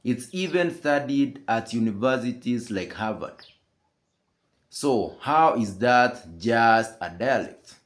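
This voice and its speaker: Slow, measured male commentator. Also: mid-range voice, expressive. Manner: measured, explanatory. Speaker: channel host. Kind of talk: scripted commentary.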